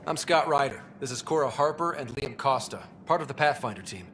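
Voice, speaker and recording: Game hero male actor: young explorer, easygoing, curious, animated mid-range delivery. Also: mid-range voice, fairly quick, slight background noise, close to an everyday voice. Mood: casual, curious.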